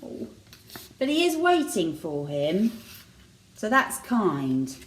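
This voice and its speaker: A woman reads a children's story at a slow, deliberate pace. Her pitch moves in lively swings, and she adds chatty little asides. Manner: expressive, deliberate. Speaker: teacher reading a picture book to children.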